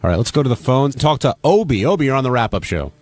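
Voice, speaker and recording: A man's voice: medium pitch, sing-song, quick pace, darkish tone, dry recording. A man hosting a radio show, casually putting questions and steering the segment.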